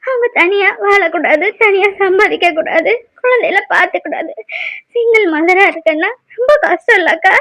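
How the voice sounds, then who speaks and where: A woman's voice: very high-pitched, brisk, few pauses, fairly bright tone. A woman acting a timid, wronged young village single mother in an emotional drama, pleading in a tearful, plaintive way. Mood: sad, plaintive.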